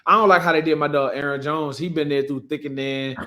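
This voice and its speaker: A man's voice: a little high, steady tone, fast talker, bright, forceful tone. An American man, likely in his twenties, chatting casually about sports in a slangy, profane, bantering way. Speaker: podcast co-host, pro football player.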